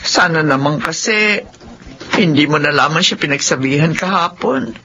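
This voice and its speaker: Worried filipino radio drama mom, sharp bright voice, very up and down, pleading, bit muffled. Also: female voice, deep voice, animated, normal speed, full character voice. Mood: worried, sorrowful. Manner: tearful, pleading, emotionally heightened. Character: worried, pleading middle-aged mother of troubled adult children. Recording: dull, lo-fi radio sound with faint noise.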